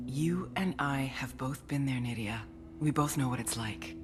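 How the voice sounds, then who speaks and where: A woman playing a commanding, sardonic intelligence officer in a video game, deliberate and menacing in delivery. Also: very deep voice, music in the background. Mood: cold persuasion. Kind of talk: dramatic video game acting.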